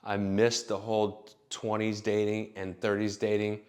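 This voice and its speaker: A man's voice: on the low side, monotone, measured pace, darkish tone. A man in his late thirties telling stories in a rambling, profane, casual monologue full of fillers. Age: late 30s. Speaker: vlogger.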